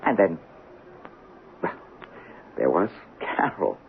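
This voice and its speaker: A hesitant, polite writer. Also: male voice, sits low, big pitch swings, takes it slowly, creaky, phone-quality sound, heavily acted. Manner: hesitant, stammering and apologetic. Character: soft-spoken male writer, hesitant and polite. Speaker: Robin Graves, a writer. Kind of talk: 1970s radio drama acting. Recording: old radio broadcast, phone-thin with hiss.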